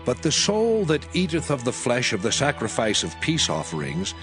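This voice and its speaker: A man narrating Bible scripture in a solemn, measured reading style. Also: mid-range voice, wildly swooping pitch, music in the background.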